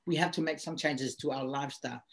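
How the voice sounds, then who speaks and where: A man's voice: mid-range voice, steady tone, quick pace. A middle-aged man from Samoa, whose first language is not English, speaking reflectively in an interview and repeating phrases for emphasis. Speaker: guest.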